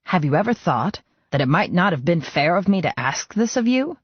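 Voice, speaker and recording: An older biker boss as a male audiobook narrator plays him speaks to his protege with earnest, sentimental feeling. His pitch moves in lively swings. The recording is dry and a little muffled. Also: moderate pace, slightly rough, dry recording, exaggerated voice. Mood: earnest, doubting. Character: older male biker club boss, fond mentor, emotional.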